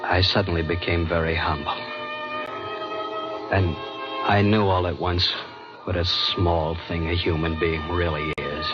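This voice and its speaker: An actor playing a shaken ship's survivor, telling his story dramatically and deliberately in 1940s radio drama style. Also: deep voice, level tone. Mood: relieved, humbled. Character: shaken ship's passenger narrating a deadly snake fight. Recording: muffled old radio recording under loud music.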